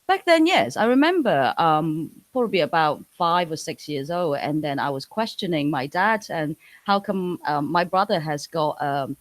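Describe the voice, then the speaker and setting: A woman from Hong Kong, a native Cantonese speaker with a Hong Kong English accent, talking casually as an interview guest, pausing and restarting often. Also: sits low, animated, dry recording. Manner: hesitant, many restarts and fillers.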